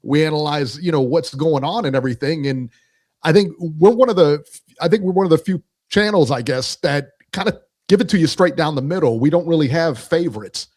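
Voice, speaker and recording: Slightly high-voiced man, loose chatty guest. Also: a little fast, darkish tone. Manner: loose, repeats himself, filler-heavy. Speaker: guest, boxing channel co-host. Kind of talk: casual podcast chat.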